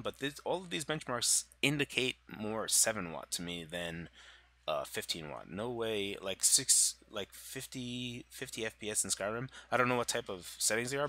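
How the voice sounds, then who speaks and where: A man's voice: lowish voice, pushed and bright. A man with a cold, sounding congested, casually explaining gadget specs over slides with many fillers and restarts. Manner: loose, filler-heavy, self-correcting. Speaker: tech channel host.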